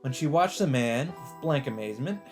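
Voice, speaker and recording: A man's voice: mid-range voice, lively intonation, moderate pace. A man hosting a let's play, reading a visual novel's narration aloud in a dramatic storytelling style. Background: game music.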